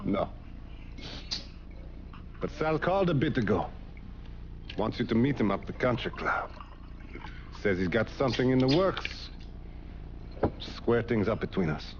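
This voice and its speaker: An actor playing a stern, protective older Southern boss with a folksy, measured way of talking in a video game drama. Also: male voice, mid-range voice, expressive, highs cut off, a little hiss or hum, close to an everyday voice. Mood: dry, resigned.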